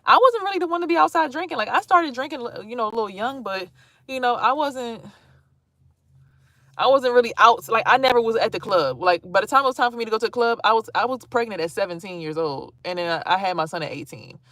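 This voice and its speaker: A woman's voice: fairly high pitch, fast talker, no room sound. Blunt chatty woman in her thirties. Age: 30s. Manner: blunt, animated, swearing freely, chatty asides to viewers. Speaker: vlogger streaming to viewers. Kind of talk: casual live-stream talk.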